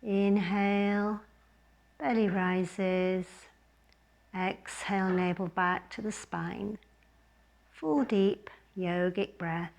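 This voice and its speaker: Slow-paced Englishwoman guiding relaxation. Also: mid-range voice, fairly even intonation, vocal fry. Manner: gentle, unhurried, with long pauses between short phrases. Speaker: restorative yoga teacher. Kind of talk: guided relaxation class.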